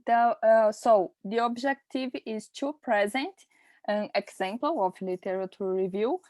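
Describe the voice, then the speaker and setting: A woman from Brazil with a Brazilian Portuguese accent, a nurse and researcher giving an online presentation in hesitant, halting English full of fillers. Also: on the high side, a little mellow, no room sound.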